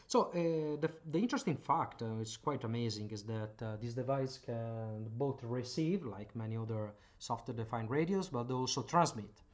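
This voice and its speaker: A man's voice: mid-range voice, lively intonation, normal speed, hardly any pauses. A man from Italy with an Italian accent, explaining radio gear at his home workbench in a hesitant way, full of filler sounds. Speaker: hobbyist channel host. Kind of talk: casual explanatory demo.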